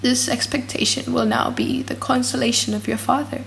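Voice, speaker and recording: Casting: woman to act gentle mother on her deathbed, hushed and faltering, for dramatic audiobook. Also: a little high, steady tone, moderate pace, creaky, a little hiss or hum, poor audio. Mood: tender, sorrowful. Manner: soft, faltering deathbed delivery. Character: dying, devoted mother, gentle and resigned.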